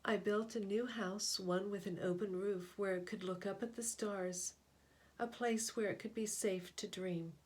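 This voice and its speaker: A woman reading a picture book aloud as a storyteller, with deliberate, expressive phrasing and pauses. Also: mid-range voice.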